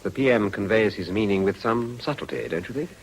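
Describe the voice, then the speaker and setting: A man playing an ambitious, self-assured politician in a period radio drama, husky, formal and polished, with an upper-class English accent. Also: lowish voice, lively intonation, fairly quick, slight background noise. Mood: smooth, defensive.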